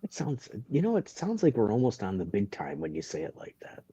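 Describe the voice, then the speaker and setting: A man's voice: on the low side, huge pitch swings, a little fast, dark tone, breathy edge. A man co-hosting a casual barbecue live stream, rambling and joking as he repeats phrases and makes announcements. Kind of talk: casual banter and show announcements. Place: video call on a live stream. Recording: slightly dull lo-fi stream audio.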